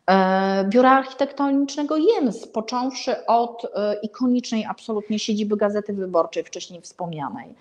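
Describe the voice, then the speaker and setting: A woman's voice: medium pitch, a little mellow. Middle-aged woman, hesitant but emphatic. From Warsaw, Poland. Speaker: presenter of an art and architecture vlog. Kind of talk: informal spoken book review to camera. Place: small indoor room with paintings and a bed.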